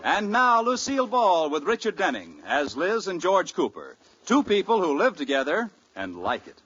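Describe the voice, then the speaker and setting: High, animated male vintage radio announcer. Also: normal speed. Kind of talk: 1940s radio announcing, scripted narration. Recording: muffled old radio recording over music. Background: loud music.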